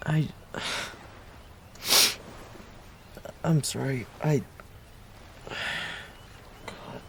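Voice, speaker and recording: A man acting a heartbroken ex-boyfriend in an intimate romantic audio roleplay, pleading in a halting, breathy, emotional way. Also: medium pitch, mellow, a little hiss or hum. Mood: quiet, pleading.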